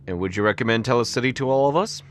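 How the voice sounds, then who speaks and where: A man's voice: mid-range voice, rapid-fire, lightly acted. A man playing an inquisitive radio show interviewer in an audio drama. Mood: curious, presenting.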